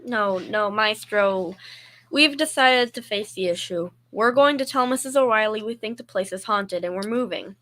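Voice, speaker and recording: A woman's voice: medium pitch, normal speed, fairly bright tone, lightly acted. A young female middle school student playing a sensible, weary, affectionate working woman in an old-time radio comedy. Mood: firm.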